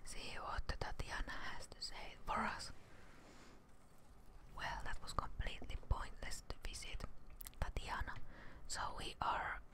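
A young woman giving ASMR game commentary in a whisper, hesitant and softly muttering to herself, close to the mic. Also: unhurried. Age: young adult. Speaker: gaming streamer. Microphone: close studio mic, seen in front of her.

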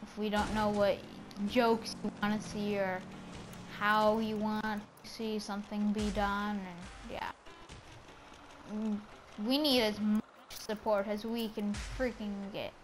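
A woman's voice: mid-range voice, little pitch movement, slow, background noise. A woman chatting casually and playfully over gameplay as a channel host, rambling informally.

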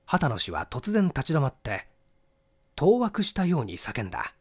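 Animated male mystery narrator. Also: medium pitch, normal speed, slightly rough. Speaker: audiobook narrator and stage actor. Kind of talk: dramatic literary narration of a mystery novel.

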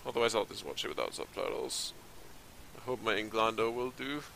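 A young man whose first language is Danish, chatting casually in a headset while streaming a game, swearing and yelling in sudden bursts. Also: lowish voice, expressive, fairly quick, vocal fry, a little hiss or hum. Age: young adult. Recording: loud music under the voice.

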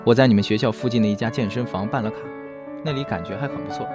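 A man's voice: lowish voice, very fast, over loud music, natural voice. A man playing a devoted, eager young boyfriend in an audio drama, with a coaxing, doting manner.

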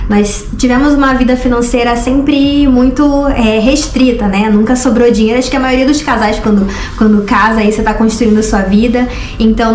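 Higher-voiced young carioca woman in an echoey room. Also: darkish tone, a little hiss or hum. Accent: Rio de Janeiro Brazilian Portuguese. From Rio de Janeiro, Brazil. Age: 20s. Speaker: vlogger, co-host of a couple's travel channel. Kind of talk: casual storytelling to camera. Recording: roomy echo, music behind.

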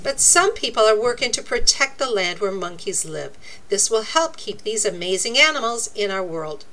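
A woman reading a children's nonfiction book aloud, with deliberate, expressive, teacherly phrasing. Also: medium pitch, low-quality recording. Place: indoors, book laid open before a camera.

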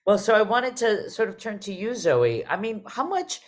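A woman's voice: medium pitch, sing-song, normal speed. A middle-aged woman hosting a conversational interview over a video call in an enthusiastic way. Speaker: host, theatre artistic director.

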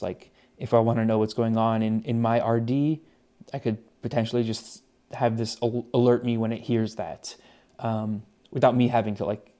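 Man, soft mellow tone, casual unscripted demo, conversational, slightly hesitant phrasing. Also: mid-range voice. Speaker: tech hobbyist presenter. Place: small indoor home desk setup.

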